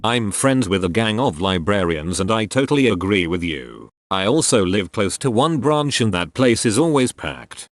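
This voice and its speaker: A man's voice: fairly low pitch, big pitch swings, moderate pace, few pauses, dry recording. A man narrating forum posts in a wry, scripted reading. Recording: clear music bed underneath.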